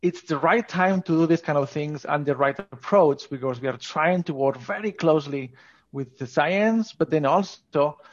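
A man's voice: on the high side, moderate pace. Spanish-accented man, slightly dull audio. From Asturias, northern Spain. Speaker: podcast guest, scientist. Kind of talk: conversational interview.

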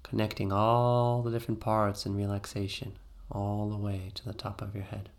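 A man narrating a guided meditation in a soothing, gently instructive way with pauses between phrases. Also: lowish voice, completely flat delivery.

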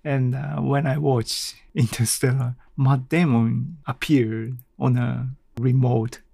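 A man's voice: medium pitch, level tone, slow, gravelly, mellow. A man hosting a casual solo podcast in an audio-blog style, speaking hesitantly and searching for his words. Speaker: podcast host.

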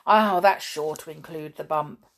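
A woman voicing a fussy, kindly old male gnome doctor in a storybook, soothing and brisk, repeating himself. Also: deep voice. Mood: bustling concern.

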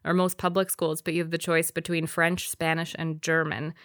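A woman's voice: deep voice, little pitch movement, moderate pace, a bit bright, clear, resonant tone, no echo, studio quality. A woman, co-hosting a casual podcast and explaining things in fluent, easy sentences. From Wisconsin, USA. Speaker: podcast co-host. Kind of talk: casual chat.

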